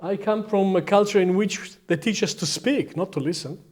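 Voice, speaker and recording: A middle-aged Hebrew-speaking man with an Israeli accent, teaching empathy in short, deliberate statements that pause for an interpreter. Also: sits high, a little mellow. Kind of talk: lecture with live interpreter.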